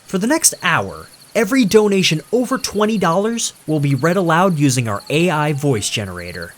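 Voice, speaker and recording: A man's voice: a little high, sing-song, normal speed, voice pushed up. A man performs a cocky young male livestreamer from a horror story. The voice sits raised and pushes out brashly, selling every line to an online crowd with thrill-seeking swagger. There is faint noise behind it. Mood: brash, hyped. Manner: brash, showy, addressing an online audience. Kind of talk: horror story character dialogue.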